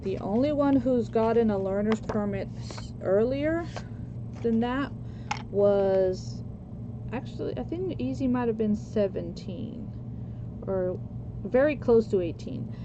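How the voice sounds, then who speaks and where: Woman, Costa Rican-born, slow-talking, chatty livestream host, lo-fi audio. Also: fairly high pitch. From Costa Rica. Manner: slow, chatty, answers chat comments while working. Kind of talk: casual crafting chat with viewers. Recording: lo-fi with background noise.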